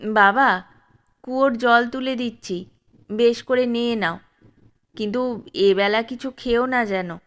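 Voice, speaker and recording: A woman voicing a kindly middle-aged village woman giving a quiet warning. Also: on the high side, slow pace, pauses often. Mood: cautioning. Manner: gentle, cautioning.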